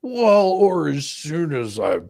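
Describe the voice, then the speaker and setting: A man playing a folksy, blustering, evasive attorney under questioning in a comic deposition reading, stammering and hedging in a raspy, gravelly voice. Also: fairly high pitch, sing-song, slow pace, a crowd in the background, heavily acted. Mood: defensive, hedging.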